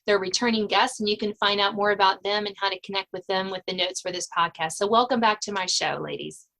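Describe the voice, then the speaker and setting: A woman speaks as a podcast host. Her pitch sits in the middle of the usual range, and she talks in a friendly, conversational interview style. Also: steady tone, fast talker, bright, forceful tone, poor audio.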